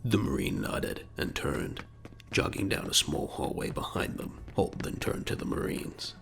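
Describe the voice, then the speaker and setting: A man's voice: sits low, very animated, a little slow, creaky, fairly bright tone, faint music behind. A man delivering dramatic scripted narration for an audio drama.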